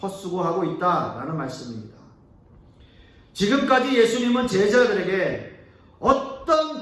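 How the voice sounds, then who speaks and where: A man preaching a sermon as pastor. He talks earnestly, rises on key words, and lets his sentences trail off soft and low. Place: church sanctuary. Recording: echoey church hall, mic at a distance.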